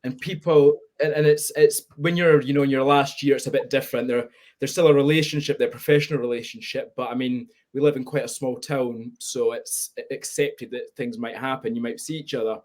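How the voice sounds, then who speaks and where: A man's voice: medium pitch, fairly even intonation, a little fast, darkish tone. A nineteen-year-old man from Spain with a Scottish accent, speaking candidly and reflectively as a guest on a webinar video call. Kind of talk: webinar conversation.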